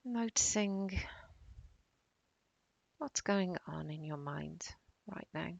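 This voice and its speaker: Female meditation guide: soothing, very slow delivery, soft breathy endings, close dry sound. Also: on the low side, no room sound. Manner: calm, soothing, unhurried with long pauses. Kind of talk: guided body-scan meditation.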